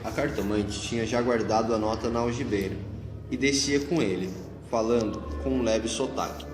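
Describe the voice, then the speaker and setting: A man's voice: medium pitch, moderate pace. A Brazilian man narrating a scripted radio drama. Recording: echoey hall, long reverb, music bed. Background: music.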